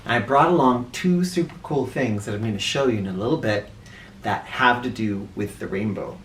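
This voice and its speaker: A man reading a children's story aloud as a storyteller, in an animated, theatrical way with drawn-out emphasis. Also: mid-range voice, slight background noise. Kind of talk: expressive storybook read-aloud. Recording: roomy echo, distant mic.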